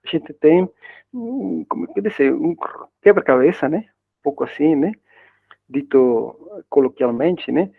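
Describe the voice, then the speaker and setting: A man's voice: fairly high pitch, lively intonation, unhurried, vocal fry, breathy edge, no echo. A man whose first language is Spanish, lecturing in Portuguese with a part Brazilian accent in an informal way, often adding tag questions and restarting words, heard over a video call. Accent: Spanish-accented Portuguese, partly Brazilian. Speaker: guest lecturer. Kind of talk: academic web conference talk. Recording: dry tinny video call audio.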